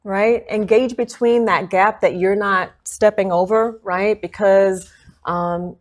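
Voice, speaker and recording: A woman in her thirties from Chicago speaks as an interview guest. Her voice sits at a middle pitch. She is reflective and measured and keeps checking in with her listener. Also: steady tone. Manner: reflective, frequent tag "right?". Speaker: guest, consultant. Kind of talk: interview answers.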